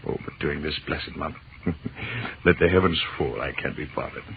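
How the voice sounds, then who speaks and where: A romantic, bantering professor husband from vintage radio drama. He speaks in a deep voice with florid, pedantic flourish. The old recording is boxy with faint noise. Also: moderate pace, vocal fry, dull audio. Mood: mock-pompous. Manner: mock-pompous, florid phrasing. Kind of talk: 1940s radio drama acting.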